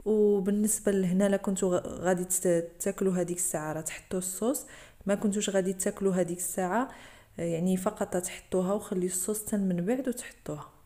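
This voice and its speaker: Quick-talking Moroccan woman cooking host. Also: medium pitch, fairly even intonation, mellow. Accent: Moroccan Arabic. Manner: explains each step plainly, addressing viewers directly. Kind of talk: voice-over cooking tutorial.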